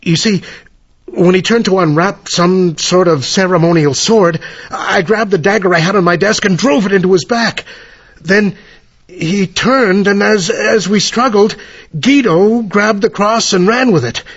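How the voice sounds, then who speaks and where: An actor playing an irascible, cornered professor with a guilty secret in an old-time radio mystery, theatrical and imperious. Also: male voice, sits high, unhurried. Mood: grim, confessing. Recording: muffled radio drama recording.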